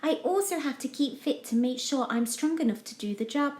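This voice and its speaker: A woman's voice: high-pitched, fairly quick, darkish tone, pitched above the performer's own voice, natural voice. A woman voicing a friendly, encouraging firefighter in a children's storybook, upbeat and reassuring. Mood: explaining. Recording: echoey room.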